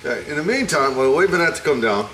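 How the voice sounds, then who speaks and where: A relaxed middle-aged man talks through a recipe at an easy, folksy pace and drops a few wry jokes. He is picked up by the camera from a distance in an echoey home kitchen. Pans and cutlery clatter loudly behind him. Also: a little high, racing, slight background noise. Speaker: home cooking show host. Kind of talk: casual cooking demonstration. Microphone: camera mic from a distance. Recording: echoey home video from far off.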